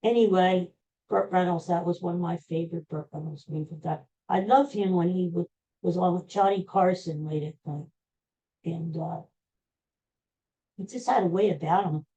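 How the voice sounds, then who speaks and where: A woman's voice: on the low side, normal speed, warm tone. A middle-aged American woman hosting a podcast, chatting casually in a rambling, folksy, conversational way and trailing off now and then. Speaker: podcast host. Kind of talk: casual rambling solo chat. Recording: dull lo-fi recording.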